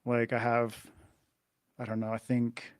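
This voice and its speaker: A man's voice: mid-range voice, measured pace, clean tone, no echo, clean studio sound. A Canadian man, a podcast guest, chatting casually and rambling a little over a video call. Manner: relaxed, rambling, swears casually.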